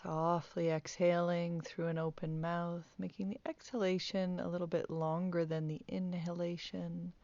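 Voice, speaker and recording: A woman teaching guided meditation, speaking softly with calm, gentle instructions and long pauses. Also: lowish voice, no echo, dull audio.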